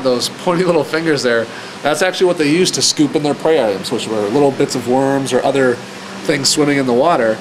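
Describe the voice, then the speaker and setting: A man's voice: mid-range voice, background noise. A man speaking as a zoo keeper, giving a casual, explanatory educational presentation. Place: zoo exhibit area.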